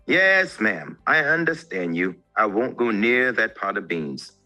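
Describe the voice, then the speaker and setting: A man's voice: fairly low pitch, very animated, measured pace, sharp tone, soft background music, exaggerated voice. A man voicing an eager, sneaky young male spider in a children's folktale. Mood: eager, obedient.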